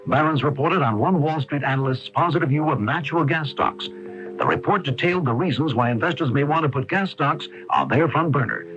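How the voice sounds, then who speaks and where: A man's voice: fairly high pitch, animated, brisk, fairly bright tone, faint music behind. An American man reading a scripted commercial as an announcer on early 1980s radio. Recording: dull lo-fi 1980s radio broadcast.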